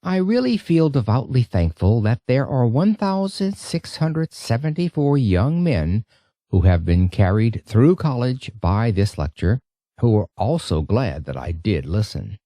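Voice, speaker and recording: A man reads a story aloud as an audiobook narrator. His pitch moves in lively, expressive swings, while the tone stays soft and mellow. The recording is dry and close. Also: a little slow, no echo.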